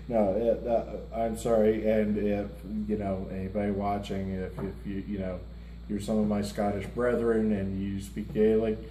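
A blunt, self-mocking middle-aged man chats casually across a table, his voice low and mellow. He is picked up by a far camera in a roomy indoor space with a faint hiss behind him. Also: flat delivery, measured pace. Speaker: co-host of a music reaction show. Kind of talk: casual chat. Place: indoor room, seated at a table before a lit curtain.

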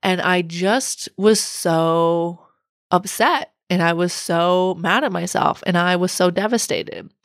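A woman in her thirties hosting a solo podcast, her voice a little raspy from a cold, talking in an earnest, reflective coaching way. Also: lowish voice, takes it slowly, no room sound, clean studio sound. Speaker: podcast host.

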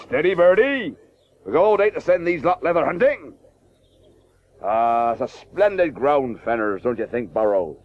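Casting: domineering Victorian sporting patriarch, emphatic and swooping, muffled radio-play recording. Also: male voice, very animated, moderate pace. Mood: hearty. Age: middle-aged. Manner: booming, emphatic, clipped phrases. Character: blustering, domineering Victorian cricketer father. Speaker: actor. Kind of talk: 1980s radio drama acting.

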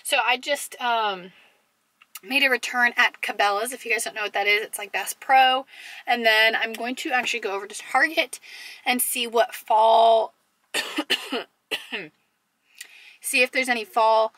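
A young woman chats to her camera in a casual, enthusiastic way, with plenty of fillers. Her voice is bright and a little high, with a slight hoarseness from a cough. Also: fairly even intonation, a little slow, pauses often, no echo, rough recording. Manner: chatty, filler-heavy, enthusiastic. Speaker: lifestyle vlogger. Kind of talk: casual vlog chat to camera. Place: parked car, then in a large store and a parking lot. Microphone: camera on dashboard or handheld at arm's length.

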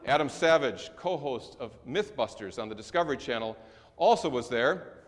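A middle-aged male pastor preaching a sermon in a large church sanctuary, with measured delivery, slow emphatic stresses and falling endings. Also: mid-range voice, expressive. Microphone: distant camera recording in the sanctuary. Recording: long church reverb.

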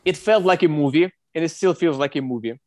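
A young man with a Ukrainian accent giving an interview account in halting English, searching for words. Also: on the high side. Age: young adult. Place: small indoor room. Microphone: wired earphone headset on video call.

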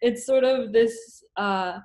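A woman's voice: fairly high pitch, slow pace, darkish tone. Chatty young woman on a video call, lots of ums and likes, from home. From United States. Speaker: artist. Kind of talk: casual panel discussion. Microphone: laptop mic on a video call.

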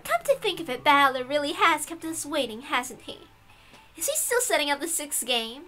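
A woman's voice: high voice, very animated, normal speed, bright, forceful tone, soft background music, heavily acted. A woman voicing a playful, mocking young witch in an exaggerated, teasing, girlish way for a visual novel. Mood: mock sympathy.

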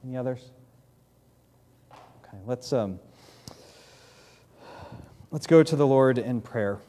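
A man in his thirties with a New England accent, a pastor giving church announcements and leading prayer at a podium mic, speaking haltingly with many fillers. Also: medium pitch, mellow. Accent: New England American. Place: large church sanctuary, in doors.

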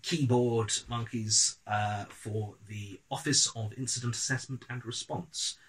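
A British man in his thirties, a podcast writer, chatting in a droll, playful, wordy way through a casual pitch. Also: steady tone, unhurried, fairly bright tone, poor audio. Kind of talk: casual two-person crowdfunding pitch. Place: small living room, on a sofa.

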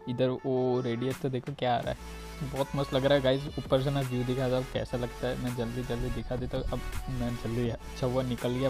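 A man's voice: medium pitch, gravelly. A man with an Indian accent giving casual, informal commentary on a video game, talking directly to his viewers. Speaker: gaming video creator.

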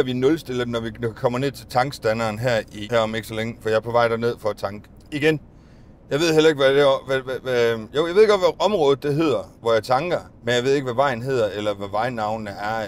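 A middle-aged man with a slightly high voice talks in a chatty, rambling way, restarting his sentences as he thinks out loud. He is recording a casual vlog from the driver's seat of a minibus, on a camera mounted on the dashboard. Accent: Danish. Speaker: bus driver vlogger. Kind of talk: casual vlog monologue while driving. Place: inside a minibus, daytime. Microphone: phone or dashcam on the dashboard.

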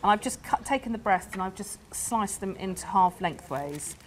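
A middle-aged woman with a mid-range voice cooks on camera, warm and enthusiastic as she talks through each step. She speaks on a lapel mic in a garden centre greenhouse, with a faint hiss behind her. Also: medium pitch, lively intonation, normal speed. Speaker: cook, guest chef. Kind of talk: cooking demonstration.

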